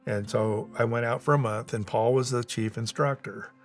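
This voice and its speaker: A man's voice: mid-range voice, steady tone, moderate pace. A middle-aged or older man telling an anecdote in a documentary interview.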